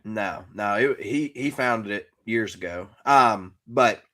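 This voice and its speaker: A man co-hosting a talk podcast, sharing casual opinions in a measured, explanatory way. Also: on the low side, bright, forceful tone. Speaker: podcast co-host.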